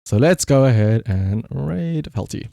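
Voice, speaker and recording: A man's voice: mid-range voice, lively intonation, unhurried, creaky, dark tone, no echo, clean studio sound. A man livestreamer chatting casually and rambling to his viewers, swearing freely with playful exasperation. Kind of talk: casual chat to stream viewers.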